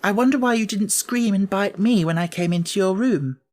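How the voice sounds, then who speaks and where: A forthright little girl from a storybook as a man acts her out. She is curious and eager, speaking directly and earnestly. Her voice is pitched high above a normal reading. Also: moderate pace, darkish tone, close to an everyday voice.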